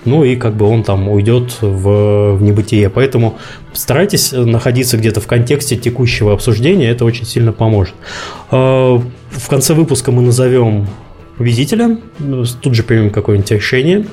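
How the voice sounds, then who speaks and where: A man with a mid-range voice co-hosts a live podcast. He explains things in a measured way and slips in light jokes. Faint music sits behind him. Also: medium pitch, warm tone. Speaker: podcast co-host. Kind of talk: live podcast hosting, announcing rules.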